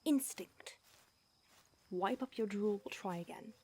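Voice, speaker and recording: A woman voicing an ancient female vampire who mentors her fledgling, speaking in a hushed, breathy whisper with hesitant restarts. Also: mid-range voice, slow. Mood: scolding. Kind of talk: audio roleplay.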